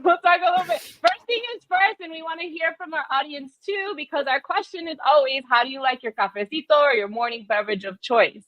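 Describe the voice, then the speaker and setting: A woman with a high voice hosts a livestream in a casual, upbeat way. She mixes Spanish phrases into English as she engages her online audience. The sound is dry and somewhat dull, like lo-fi call audio. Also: a little fast, hardly any pauses, no room sound. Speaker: host of a livestream chat show.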